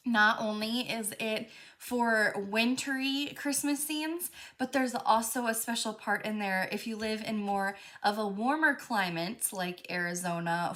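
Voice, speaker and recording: A woman's voice: on the high side, a bit bright. A woman hosting a live crafting stream, chatting casually with lots of fillers, a little stuffy from a cold. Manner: chatty, friendly, many fillers. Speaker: crafting show host.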